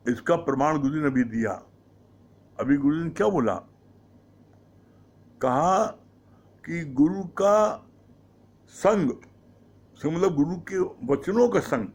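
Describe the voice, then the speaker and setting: A man delivering a spiritual discourse in a slightly raised voice. He talks at an unhurried, deliberate pace. He poses rhetorical questions and answers them himself. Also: a little high, lively intonation, darkish tone, husky. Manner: slow, measured explaining with rhetorical questions; mixes English words into Hindi. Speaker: satsang speaker. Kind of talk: devotional discourse on scripture.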